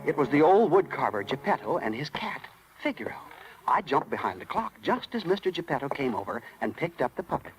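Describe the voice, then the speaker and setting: A man's voice: on the high side, big pitch swings, fairly quick, low-quality recording. A man playing a folksy little cricket who narrates with wry asides in 1930s radio style. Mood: folksy wonder. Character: chirpy folksy little male cricket storyteller. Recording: vintage 1930s radio, music behind.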